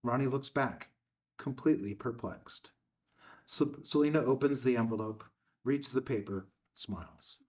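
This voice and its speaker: A man's voice: medium pitch, unhurried, darkish tone. A man reading a film script's stage directions as narrator, in a matter-of-fact way.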